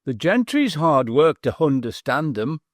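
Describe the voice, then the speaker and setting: A man's voice: a little high, sing-song, a little slow, no room sound, studio quality, pitched above the performer's own voice. A man voicing a pompous former butler turned innkeeper in a treble, wheezy, slightly mincing voice, deferentially calling everyone sir. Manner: deferential, says sir constantly.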